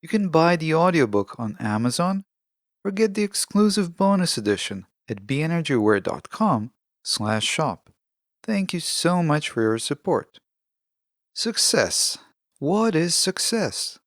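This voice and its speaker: A man hosting a podcast, delivering a reflective scripted monologue in measured, earnest, deliberate short statements. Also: on the high side, very animated, dry recording, studio quality. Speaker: podcast host.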